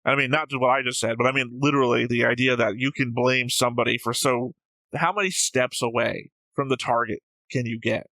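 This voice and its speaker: A man's voice: medium pitch, fairly even intonation, fairly quick, bright, forceful tone, no room sound, poor audio. A man hosting a reaction show in casual discussion, talking in an argumentative, rambling way and often correcting himself. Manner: argumentative, digressive, self-correcting. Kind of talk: casual discussion, reading out viewer comments.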